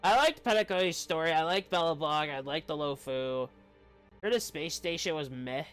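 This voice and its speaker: A man's voice: a little high, normal speed, fairly bright tone, smooth. A man giving casual, rambling commentary as a game streamer, often breaking off mid-sentence. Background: faint game music.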